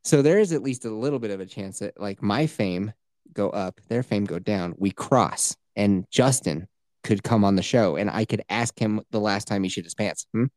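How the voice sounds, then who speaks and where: A man co-hosting a podcast, talking in casual, profane comedy banter with a joking, mock-boastful and animated manner. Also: fairly low pitch, moderate pace, no echo. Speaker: podcast co-host.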